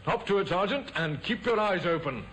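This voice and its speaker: An actor playing a shrewd, brisk male police inspector in an old radio drama, crisp and dryly ironic. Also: high-pitched, lively intonation, loud music behind the voice, poor audio. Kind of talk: 1950s radio drama acting. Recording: old radio broadcast with faint hiss.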